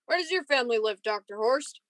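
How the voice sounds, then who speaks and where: A woman's voice: fairly high pitch, animated, a little fast, a bit bright. A woman playing an edgy, impatient young lieutenant in a science fiction radio play, with a casual, restless delivery. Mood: curious.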